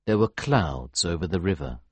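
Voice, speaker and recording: A man acting a quiet, watchful storyteller for an audiobook. His voice is very low and husky, moving slowly but with expressive rises and falls. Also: no room sound. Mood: hushed, sombre. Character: quiet, observant male listener narrating aboard a boat. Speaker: narrator. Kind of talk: scripted audiobook narration.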